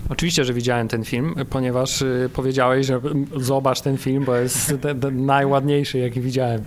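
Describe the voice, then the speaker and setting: A man's voice: mid-range voice, little pitch movement, normal speed, slight background noise. A man co-hosting a casual podcast interview, speaking hesitantly in long winding sentences. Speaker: podcast co-host.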